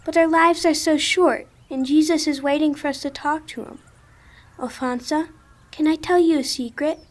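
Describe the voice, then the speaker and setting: A woman's voice: high voice, fairly even intonation, normal speed, natural voice. A woman playing a pious, earnest twelve-year-old saintly girl in a religious audio drama. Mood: fervent, solemn.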